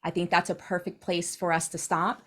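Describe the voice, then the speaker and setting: A woman's voice: lowish voice, little pitch movement, normal speed, a bit bright. A woman hosting her own podcast as a coach, encouraging and upbeat, closing the show with a practiced sign-off.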